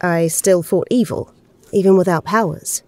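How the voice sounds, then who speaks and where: A woman's voice: fairly low pitch, normal speed, a little mellow, no room sound, close to an everyday voice. A woman acting in an audio drama as an earnest, homesick young woman stranded among rebels, a little hesitant in her speech.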